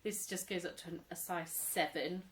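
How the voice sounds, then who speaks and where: A woman in her thirties, chatting casually at home about her crochet, a little croaky from a cold, rambling and laughing often. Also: lowish voice, steady tone, normal speed, a little hiss or hum. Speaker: crochet vlogger, mother. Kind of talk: casual show-and-tell chat.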